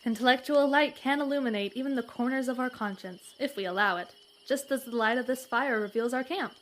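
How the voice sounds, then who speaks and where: A woman playing a learned, discreet frontier lady in a western audio comedy, speaking in formal, precise and measured words. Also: a little high, a bit bright, a little hiss or hum, lightly acted. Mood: thoughtful.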